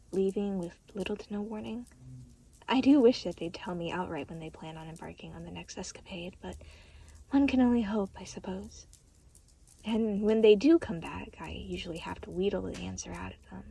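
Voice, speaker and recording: A woman voicing a gentle, caring and slightly awkward deity in an audio roleplay, soft-spoken and hesitant, with a breathy voice. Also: mid-range voice. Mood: gentle, wistful. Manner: soft-spoken, hesitant, polite, motherly endearments. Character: gentle, awkward, caring deity who comforts souls in limbo. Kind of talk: audio roleplay addressed to the listener.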